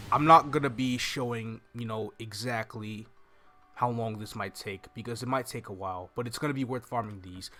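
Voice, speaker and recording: A man's voice: medium pitch, pushed and bright, a little hiss or hum. A young man hosting a game walkthrough in a casual, rambling way, thinking aloud as he goes. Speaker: gaming video host. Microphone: microphone on a stand close in front of him. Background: game music.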